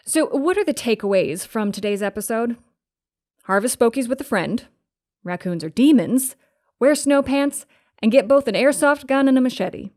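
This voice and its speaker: A woman's voice: on the high side, darkish tone, lightly acted. A woman playing the quirky, upbeat host of a witchy podcast in an audio drama.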